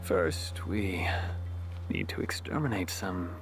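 A man playing a brooding, poetic young summoner in a video game, measured, literary and understated. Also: low-pitched, lively intonation, creaky, heavily acted. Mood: calm, ominous. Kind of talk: video game cutscene acting. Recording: loud music behind the voice.

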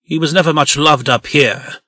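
A man's voice: on the high side, normal speed, bright, forceful tone, no echo, clean studio sound. A man voicing a belligerent, self-important talking pig in a comic dub, with a pompous, indignant manner. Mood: bitter, indignant. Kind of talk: comic dub character acting.

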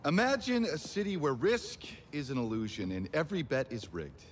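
An actor playing an intense, scheming, persuasive casino owner in a video game. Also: male voice, fairly high pitch, very animated, normal speed, a little hiss or hum.

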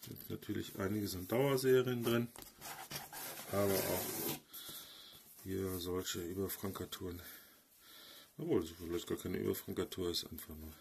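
A man's voice: low voice, steady tone, normal speed, gravelly, pauses often, background noise. A man with a cold, chatting casually as a stamp dealer showing a box of covers, peppering his talk with fillers and tag questions. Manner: chatty, filler-heavy, tags remarks with "ne?". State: has a cold. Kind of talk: casual product walkthrough. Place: indoors at a table.

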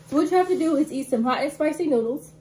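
A woman's voice: high-pitched, darkish tone, slight background noise. A young woman co-hosting a casual vlog, teasing her sister and laughing as she runs the challenge timer at a table indoors. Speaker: co-host. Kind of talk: casual chat.

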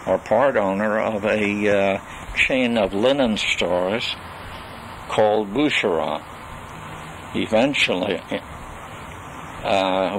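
An older man recording a spoken memoir on cassette, reminiscing in a deliberate, halting way with long pauses. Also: on the low side. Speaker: storyteller recalling his life and legal practice. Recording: noisy 1980s home cassette recording.

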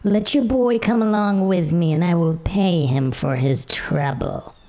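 A man voicing a shabby, spiteful old witch woman who grumbles sharply in a high, put-on voice. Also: measured pace, warm tone. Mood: commanding.

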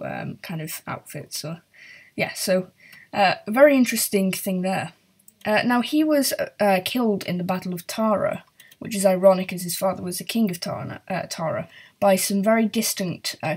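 A woman's voice: on the low side, a little mellow, slightly rough. A woman hosting a history video, talking casually with many fillers and apologies. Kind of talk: casual explainer narration. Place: house with work going on downstairs.

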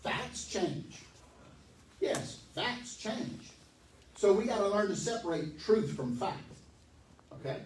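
Pastor, male, forties: high, lively, emphatic sermon delivery into a handheld mic, heard from across an echoey church hall. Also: slow, pauses often, far from the mic, a little hiss or hum. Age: 40s. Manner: animated, emphatic, pacing the stage. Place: church hall, medium-sized, indoors.

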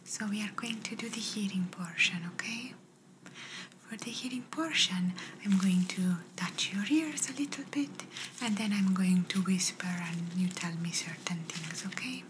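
A woman playing a gentle, reassuring doctor in an ASMR exam roleplay, speaking in a soft breathy whisper with slightly phrasing. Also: medium pitch, moderate pace, lightly acted. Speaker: ASMR performer. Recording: noisy close-up whisper recording.

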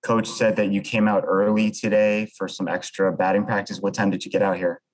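Deep-voiced American male reporter. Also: level tone, brisk, low-quality recording. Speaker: sports reporter. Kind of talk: press conference questions.

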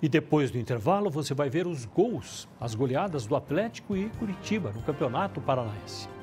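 A man's voice: fairly high pitch, very animated, over music. Middle-aged male TV news anchor. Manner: measured, formal anchor delivery. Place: TV news studio.

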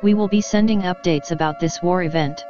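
A man's voice: high-pitched, a little fast, mellow, soft background music, lightly acted. A man voicing a radio station announcer who makes formal emergency announcements. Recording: dull radio sound with noise.

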